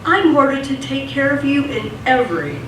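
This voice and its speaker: A woman's voice: high voice, very animated, moderate pace, fairly bright tone. A woman playing a sly, coldly loyal corporate assistant with sinister intent in live radio theatre. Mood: quietly menacing. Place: theatre stage. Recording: echoey, noisy stage, distant mic.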